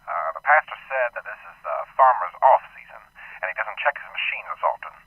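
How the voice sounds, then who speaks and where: A man playing a businesslike male police sergeant, brisk and matter-of-fact, in a student radio drama. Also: lowish voice, animated, vocal fry, breathy edge, no echo, full character voice. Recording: tinny phone-like, dry sound.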